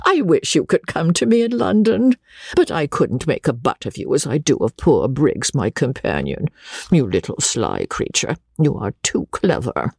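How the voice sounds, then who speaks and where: Shrill, commanding old heiress played by an audiobook reader, teasing, swoops wildly in pitch, slightly husky. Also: big pitch swings, moderate pace, a little mellow, no room sound, studio quality, full character voice. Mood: fond, teasing. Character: elderly wealthy spinster aunt, imperious and witty.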